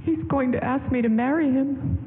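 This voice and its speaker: A woman's voice: high-pitched, moderate pace, close to an everyday voice. An actress playing a tender, guarded young woman in love, in a 1940s-style radio drama. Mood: distressed. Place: live stage in a town hall. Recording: tinny broadcast from a reverberant hall.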